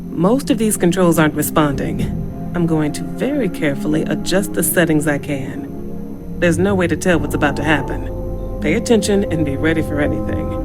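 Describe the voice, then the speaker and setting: Woman playing low-pitched, no-nonsense scientist, music behind. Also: lightly acted. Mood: focused. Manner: precise, businesslike. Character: focused, brisk female scientist, impatient but careful. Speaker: video game character. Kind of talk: video game voice acting.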